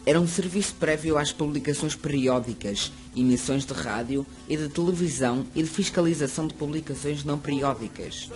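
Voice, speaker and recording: A man's voice: a little high, a little fast. Man reading a school history project. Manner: steady reading of a prepared text. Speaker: student narrator. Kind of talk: school project narration read aloud. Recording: music playing under the voice. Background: music.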